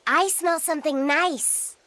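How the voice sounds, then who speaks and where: A woman's voice: sits high, takes it slowly, pushed and bright, dry recording, exaggerated voice. A woman voicing an earnest, curious young child in a video game, with background music.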